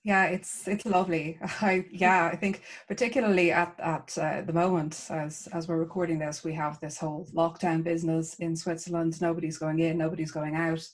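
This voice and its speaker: Woman, Irish accent, lowish voice, author guest, enthusiastic chat. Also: level tone, moderate pace, few pauses. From Ireland. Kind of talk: casual interview chat.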